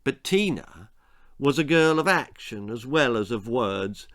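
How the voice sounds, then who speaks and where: A man reading a children's story aloud as a dramatic, expressive narrator. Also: mid-range voice, a little slow. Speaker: author reading his own story.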